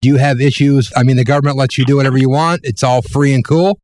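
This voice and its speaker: A man's voice: mid-range voice, fairly even intonation, quick pace, a little mellow, no echo, studio quality. A man hosting an interview in a casual, conversational way, with informal, rambling questions. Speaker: interviewer, host.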